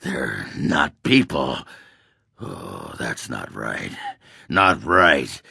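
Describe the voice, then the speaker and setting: A male actor playing a gruff, crude, hard-drinking dwarf warrior in a video game, cranky and boastful, with a growling, sardonic, blustering delivery. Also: a bass voice, big pitch swings, extremely slow, sharp tone, no room sound, studio quality, full character voice. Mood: uneasy, grumbling. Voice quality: gravelly, growling rasp. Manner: blustering, earthy swearing, sardonic. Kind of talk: video game character acting.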